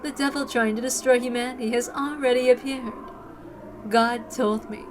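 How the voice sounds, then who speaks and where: A woman voicing a calm, pious villainess who is convinced God chose her, in a dramatic visual novel read-aloud. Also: on the high side, normal speed, background noise, close to an everyday voice. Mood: serene, fanatical.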